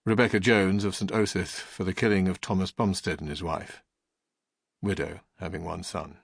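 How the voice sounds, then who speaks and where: A man with a deep voice narrates an audiobook gravely and formally. His tone is husky and the delivery deliberate, in a dry, close recording. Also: steady tone, dry recording.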